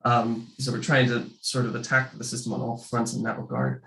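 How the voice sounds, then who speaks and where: A man's voice: medium pitch, steady tone, brisk, creaky, sharp tone. A man with a cold, presenting slides for an advocacy group, sniffly and hesitant with many ums. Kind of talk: panel presentation over slides. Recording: echoey room sound.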